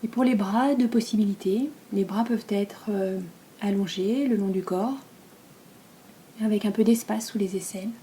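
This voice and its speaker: A woman with a French accent from France leads a guided relaxation as a yoga nidra teacher, speaking softly, breathily and calmly, with long pauses between phrases. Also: medium pitch, a little hiss or hum. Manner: soft, breathy, calm and soothing delivery.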